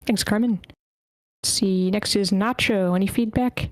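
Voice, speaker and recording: A woman's voice: medium pitch, fairly even intonation, measured pace, dry recording. A woman casually moderating a group feedback meeting as its host. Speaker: host and moderator of a script table read.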